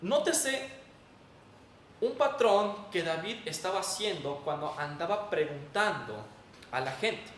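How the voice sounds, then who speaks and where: A man's voice: sits high, lively intonation, slow pace, fairly bright tone. A man with a Mexican accent preaching a sermon in an emphatic, didactic way. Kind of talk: sermon with Bible reading and prayer. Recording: echoey room, distant mic, faint noise.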